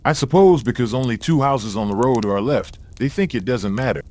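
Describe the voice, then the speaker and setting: A man's voice: mid-range voice, lively intonation, a little fast, darkish tone, close to an everyday voice. A man acting a testy, curious old father who blusters and grumbles in a dramatised audio story. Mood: violent grumbling. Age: older.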